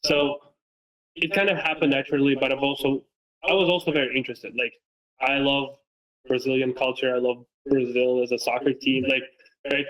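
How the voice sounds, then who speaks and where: A man's voice: mid-range voice, steady tone, moderate pace, a bit bright, low-quality recording. Romanian-born young man, earnest and reflective. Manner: earnest, reflective, many fillers and restarts. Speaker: guest, football creator and coach. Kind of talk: casual conversational interview. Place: lives in Massachusetts.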